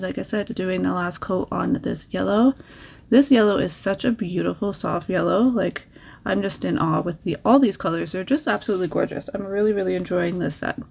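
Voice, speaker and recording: A woman narrates her nail painting in a relaxed, chatty way, dropping practical tips and encouragement as she goes. Her voice sits at a middle pitch with an easy, conversational flow. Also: normal speed, vocal fry. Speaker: nail art creator. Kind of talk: casual tutorial voice-over.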